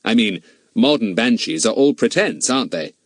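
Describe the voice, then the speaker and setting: A man's voice: mid-range voice, sing-song, normal speed, breathy edge, no echo, clean studio sound. A man voicing a clever, confident schoolboy sleuth in an audiobook, talkative, polite and mock-innocent.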